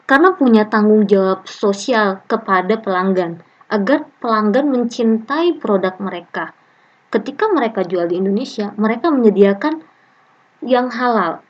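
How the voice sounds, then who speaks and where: A woman's voice: medium pitch, animated, moderate pace. A woman lecturer leading an online class discussion, reading student comments aloud with a chatty, joking manner. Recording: muffled lo-fi online call audio.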